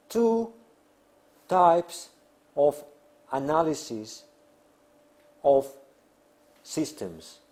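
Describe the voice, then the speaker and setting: A man who is a non-native English speaker lecturing on theory in halting, deliberate phrases with emphatic pauses. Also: on the high side, darkish tone. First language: not English. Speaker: academic lecturer.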